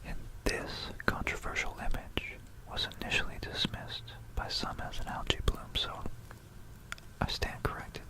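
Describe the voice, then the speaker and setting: Slow, whispering ASMR presenter. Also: poor audio. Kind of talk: whispered ASMR narration of history. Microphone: close stereo binaural microphone.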